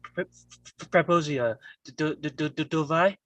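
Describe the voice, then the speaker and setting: A man playing a young mafia son in a live script read, stammering heavily and repeating syllables in a husky voice. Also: fairly high pitch, a little slow, a bit bright, no room sound, lo-fi sound. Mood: halting. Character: Michael, young mafia son giving halting orders.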